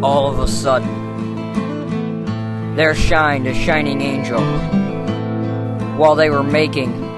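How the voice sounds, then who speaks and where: A man's voice: mid-range voice, flat delivery, slow, pauses often. A man giving a theatrical amateur rock parody performance, telling a story in dramatic talk and song. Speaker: amateur parody singer, listener contributor. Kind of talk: spoken intro and sung acoustic rock parody tribute. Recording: loud acoustic guitar music. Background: acoustic guitar.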